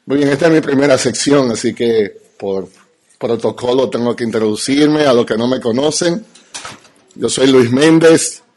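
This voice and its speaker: A man with a Dominican accent teaches like a pastor at a conference. His voice is husky and of medium pitch. He speaks emphatically, pausing deliberately to let each point land. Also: a little mellow. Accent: Dominican Spanish. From Dominican Republic. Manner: emphatic, rhetorical questions, deliberate pauses. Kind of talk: teaching sermon to an audience.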